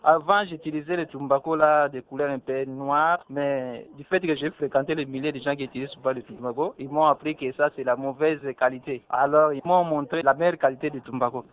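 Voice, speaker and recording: A young man, explaining in a hesitant, halting way. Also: a little high, steady tone. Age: young adult. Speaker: interviewee. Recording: narrow phone-like band.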